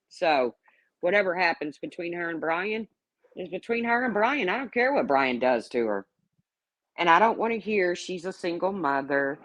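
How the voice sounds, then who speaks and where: A woman's voice: fairly low pitch, a bit bright, no room sound, low-quality recording. A woman in her late fifties hosting a casual livestream, talking bluntly and sarcastically as she rambles and answers her chat. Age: 50s. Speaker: YouTube livestream host.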